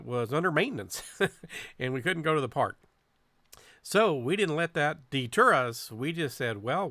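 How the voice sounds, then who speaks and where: A man with a medium-pitched voice narrates a hobby club video as its host. He talks in a folksy, chatty, casual way. The recording is dry and close, with very little room sound. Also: bright, forceful tone, no echo.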